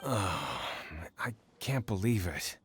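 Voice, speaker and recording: A man's voice: fairly low pitch, very animated, fairly bright tone, slight background noise, exaggerated voice. A man playing a dejected, sighing contest loser in a video game. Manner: slow, sighing, groaning.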